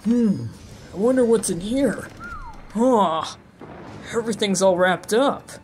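A woman's voice: mid-range voice, animated, slow pace, a little mellow, a little hiss or hum. A woman voicing a cool, fearless young male cartoon hedgehog, laid-back and casual. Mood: carefree. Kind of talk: animated fan dub acting.

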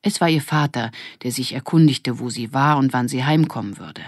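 Woman reading a story, evenly pitched, dry sound. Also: normal speed, breathy edge, no echo. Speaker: audiobook narrator. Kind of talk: scripted narration of a children's story.

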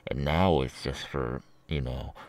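A man plays a menacing ex-convict kidnapper in an ASMR roleplay, mocking his captive with a halting sneer. The voice is very deep and gravelly, drawn out slowly in a drawl. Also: darkish tone, no room sound, exaggerated voice. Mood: irritated.

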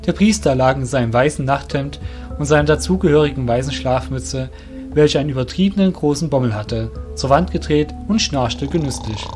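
A man's voice: mid-range voice, a little mellow. A man narrating a scripted audio drama as its storyteller.

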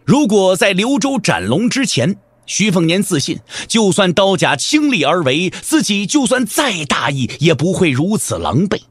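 A man's voice: sits high, very animated, normal speed, few pauses, breathy edge, no echo. A man giving dramatic scripted narration for a martial arts audio drama. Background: background music.

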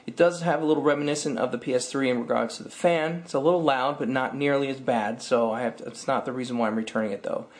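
A man in his thirties, slightly hoarse, ranting in an exasperated, complaining way in a casual vlog recorded at his webcam in a dim room. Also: medium pitch, fast talker, hardly any pauses. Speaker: tech vlogger.

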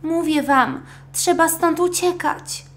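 Woman voicing a shrill, frightened little girl. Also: slow, a little hiss or hum. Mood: frightened, urgent. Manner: shrill, fearful exclaiming.

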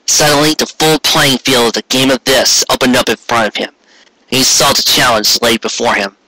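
A man's voice: mid-range voice, steady tone, moderate pace, pushed and bright, dry recording, rough recording. A man narrating a story as a dramatic reading, intense in manner, now and then stumbling over words. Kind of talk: dramatic reading of fan fiction.

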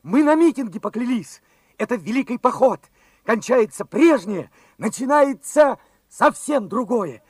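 An earnest young revolutionary soldier speaks in a high, boyish register. He is fervent and idealistic, heard dry and without room sound. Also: sing-song, unhurried, husky, no room sound, heavily acted. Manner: fervent, open-hearted. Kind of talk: Soviet radio play acting.

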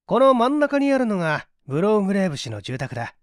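A man voicing a methodical gentleman detective in an audiobook, assured and analytical as he explains. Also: high-pitched, sing-song, quick pace, no room sound, studio quality. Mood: explaining. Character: confident, methodical gentleman detective in a mystery story.

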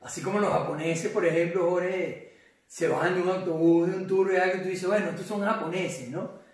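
A man's voice: high-pitched, steady tone, a little fast. A Venezuelan man in his forties chatting casually as an interview guest. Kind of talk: casual chat. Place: indoor living room. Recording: echoey room, distant from mic.

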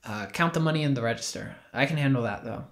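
A man's voice: medium pitch, fast talker, vocal fry, lightly acted. A man playing an earnest, defensive and naively trusting young man in a naturalistic audio drama. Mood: sheepish. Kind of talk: naturalistic audio fiction podcast acting.

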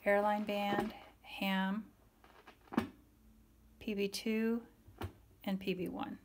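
A woman giving a casual product demonstration in a halting, matter-of-fact way. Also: mid-range voice, little pitch movement, background noise. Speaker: seller demonstrating a vintage radio.

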